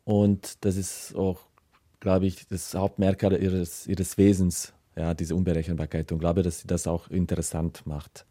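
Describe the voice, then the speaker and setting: A man's voice: sits low, level tone, measured pace, dark tone, no room sound, clean studio sound. A man giving unscripted interview answers as a writer, hesitant and searching for his words. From former Yugoslavia. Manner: hesitant, searching, hedging phrases.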